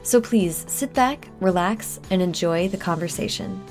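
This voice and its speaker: A woman's voice: lowish voice, expressive, measured pace, music in the background. A woman hosting a podcast interview in a chatty, enthusiastic manner. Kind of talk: scripted introduction and casual interview chat.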